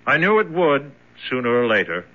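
An actor playing a cold, fatalistic captor in a radio drama, speaking in measured, brooding pronouncements. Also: male voice, medium pitch, very animated, lightly acted. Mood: coldly knowing. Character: cold, fatalistic male captor watching his prisoner fade. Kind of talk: 1970s radio drama acting. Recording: muffled 1970s radio recording.

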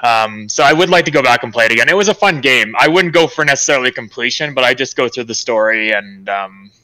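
A young man talks loosely on a headset during a group video call, circling around his point with fillers and restarts. His tone is bright and forceful. The sound is dry and close. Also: medium pitch, lively intonation, quick pace, no echo, rough recording. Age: young adult. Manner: rambling, with many fillers and restarts. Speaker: podcast co-host.

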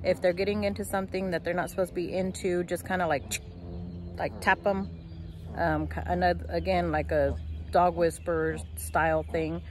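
A woman's voice: on the low side, little pitch movement, moderate pace, background noise. A young woman talks casually to her phone camera outside by a shed, explaining things with fillers and restarts. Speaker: homestead vlogger. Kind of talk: casual vlog talk to camera. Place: outdoors by a shed in a fenced yard. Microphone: phone held at arm's length.

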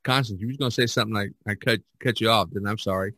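A man with a fairly low voice hosts a casual conversation. He rambles and restarts phrases as he goes. The sound is very dry, with no room to it. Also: racing, pushed and bright, no echo. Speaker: podcast host. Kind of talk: casual chat about painting.

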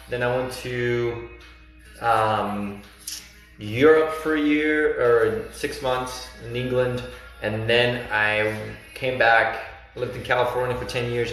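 A man's voice: medium pitch, fairly bright tone. An encouraging life coach in his thirties, originally from Argentina, chatting with viewers. His phone at arm's length picks him up in a bare, strongly echoing living room. Faint music plays behind him. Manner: earnest, encouraging, reads out viewer comments. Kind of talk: casual livestream chat with viewers. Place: bright living room indoors.

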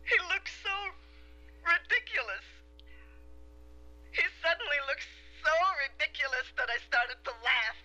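A piercingly bright, anguished wronged wife. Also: female voice, high-pitched, big pitch swings, measured pace, pauses often, heavily acted, rough recording. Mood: haunted, anguished. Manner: intense, emotional, confessional delivery. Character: distraught woman claiming to be a murdered wife reborn. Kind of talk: dramatic film or television acting.

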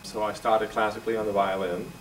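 A man in his forties from Denmark with a Danish accent, answering interview questions in a relaxed, thoughtful way with filler pauses. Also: on the low side, far from the mic, a little hiss or hum, low-quality recording. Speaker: guest jazz violinist. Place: office room, indoors.

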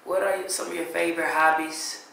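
Young guy hosting, bright punchy voice, hyped and slangy, echoey room from far off. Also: on the high side, moderate pace, gravelly, a little hiss or hum. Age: young adult. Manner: energetic and hyped, slang-heavy. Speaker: host. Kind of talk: casual chat. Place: living room indoors. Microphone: far camera.

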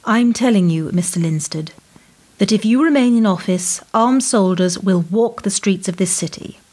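A woman acting in a radio play as a cold, menacing power broker, formal and measured in her threats. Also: mid-range voice, a little mellow, close to an everyday voice.

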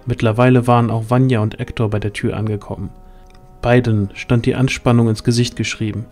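A man's voice: medium pitch, level tone, fairly quick, darkish tone, faint music behind. A man narrating a scripted fantasy audio drama as the storyteller.

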